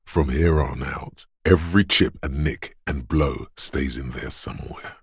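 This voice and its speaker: An actor voicing the brooding inner voice of a detective's body in a video game, gravelly and husky, speaking gravely and deliberately with theatrical weight. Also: male voice, rumbling low, no room sound, studio quality, full character voice. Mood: grave, terse. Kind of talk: scripted game narration in second person.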